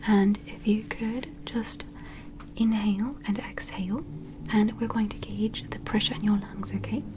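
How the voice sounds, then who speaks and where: A woman playing a gentle, attentive alien medical examiner in an ASMR roleplay, whispering slowly and soothingly in a breathy, reassuring way. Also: mid-range voice, fairly even intonation, background noise, natural voice. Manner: slow, soothing, reassuring, procedural.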